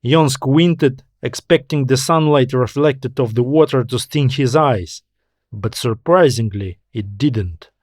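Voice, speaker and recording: Man, scripted story narration, unhurried pace, medium pitch, audiobook delivery. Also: darkish tone, no echo, clean studio sound. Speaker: author and narrator.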